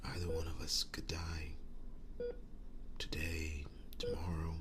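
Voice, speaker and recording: A man playing a wounded, devoted young werewolf boyfriend in an intimate ASMR roleplay, speaking in a breathy whisper, halting and earnest. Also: very deep voice, level tone, heavy background noise. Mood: earnest, loving. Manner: halting, earnest, soft-spoken with long pauses.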